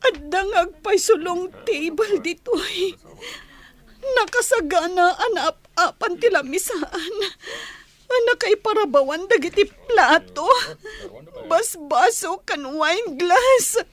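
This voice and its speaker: A woman's voice: extremely high pitch, animated, takes it slowly, full character voice. A woman playing a heartbroken, stubborn, hot-tempered young woman in a radio drama, with an Ilocano accent and theatrical delivery. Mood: bitter, wild. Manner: theatrical, emotional outbursts. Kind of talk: Filipino radio drama acting.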